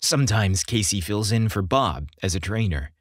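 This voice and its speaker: A man voicing an easygoing, smirking macho man flirting in audiobook dialogue, calm and teasing. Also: lowish voice, normal speed, no room sound, clean studio sound. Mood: matter-of-fact.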